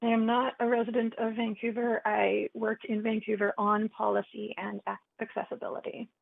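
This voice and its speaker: A woman speaks hesitantly to a council meeting, stopping often on fillers. Her voice has a gravelly edge. It reaches the listener through a dry, phone-like line. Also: a little high, flat delivery, normal speed, no echo. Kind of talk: public comment.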